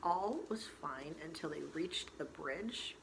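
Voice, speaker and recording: A woman storyteller reading a novel aloud in expressive, dramatic narration with deliberate emphasis. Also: low-pitched, gravelly. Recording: faint background noise.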